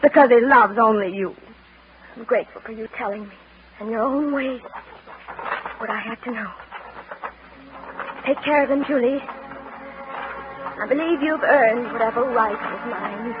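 A woman's voice: on the high side, measured pace, long pauses, over music, lightly acted. An actress playing a strong-willed, pleading Southern woman in a theatrical 1940s radio drama. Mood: earnest, intense. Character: strong-willed, impassioned Southern belle and her devoted rival wife. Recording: noisy, muffled vintage radio broadcast.

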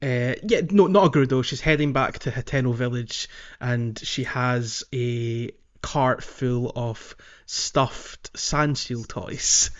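A man's voice: mid-range voice, slow, hardly any pauses, highs cut off. A man hosting a casual gaming podcast, explaining things in a hesitant, meandering way. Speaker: podcast host.

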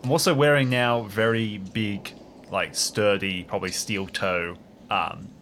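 A man's voice: lowish voice, steady tone, unhurried, fairly bright tone. An earnest, talkative man chatting as a player in an improvised tabletop role-playing game, a little fussy. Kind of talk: casual tabletop role-play chat. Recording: faint background noise and ambience.

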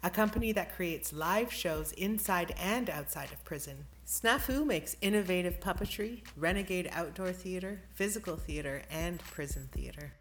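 Low-pitched woman reading podcast credits. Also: expressive, normal speed, few pauses, a little hiss or hum, poor audio. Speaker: theatre company member presenting podcast credits. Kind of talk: scripted thanks and announcements.

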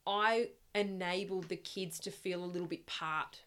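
A middle-aged Australian woman chatting casually as an interview guest, reminiscing in a loud, projecting, storytelling way. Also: on the low side, fairly even intonation, a little slow. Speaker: interview guest, swim club parent volunteer.

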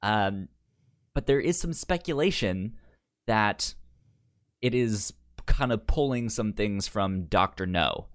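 A man chats casually as a podcast co-host in a close, dry recording. His pitch moves around a lot, and his delivery is slow and halting, with words and sounds often repeated. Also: animated, smooth, no echo.

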